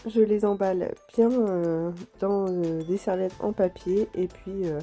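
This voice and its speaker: A woman with a stuffy nose from a cold, chatting gently to viewers as she tidies her home, with soft music behind. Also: mid-range voice, fairly even intonation, unhurried. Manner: gentle, chatty, apologetic asides with light laughs. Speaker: home vlogger. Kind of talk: casual vlog narration.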